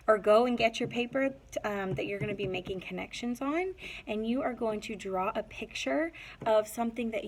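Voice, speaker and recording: A woman's voice: fairly high pitch, slight background noise. A woman teacher doing a read-aloud lesson for young children, speaking in simple, instructive phrases. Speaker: teacher reading a picture book aloud to young pupils.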